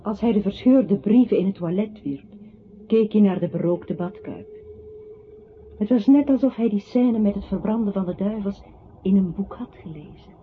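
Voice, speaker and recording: A woman playing a calm, ominous disembodied voice that haunts a man, narrating gravely and with measure in a radio play. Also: medium pitch, normal speed, slight background noise, lightly acted. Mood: grave narration. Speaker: radio drama narrator-character. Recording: thin phone-like old radio recording. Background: music.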